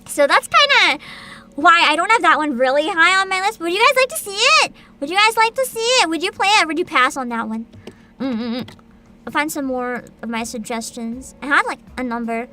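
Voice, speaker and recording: High, bright, animated woman streamer. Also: a little fast. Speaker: livestream host. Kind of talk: casual livestream chat, giving opinions to viewers.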